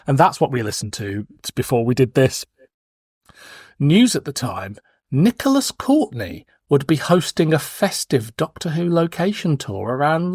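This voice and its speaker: A man's voice: mid-range voice, a little mellow, no room sound, studio quality. A man in his forties hosting a podcast in casual banter, with a wry, playful, teasing manner. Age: 40s. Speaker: podcast host.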